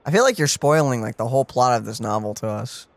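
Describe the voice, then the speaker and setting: A man's voice: mid-range voice, expressive, quick pace, no room sound. A man chatting casually as he reacts to a video game he is streaming. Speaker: let's play streamer. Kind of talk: casual gameplay reaction chat. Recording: game music behind.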